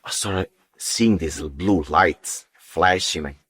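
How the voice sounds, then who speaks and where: Deep-voiced man giving personal testimony. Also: slow, a bit bright, slightly rough. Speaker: guest sharing testimony. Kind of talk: personal religious testimony, casual storytelling.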